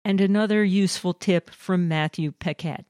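A woman co-hosting a podcast, reading intros and sponsor notes in a measured, announcing way. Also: lowish voice, a little mellow, smooth, no room sound, clean studio sound. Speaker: podcast co-host. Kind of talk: scripted host reading.

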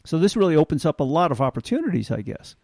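A man interviewing a guest, asking thoughtful, measured questions. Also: fairly high pitch, sing-song, a little mellow, no room sound, studio quality. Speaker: interviewer, host.

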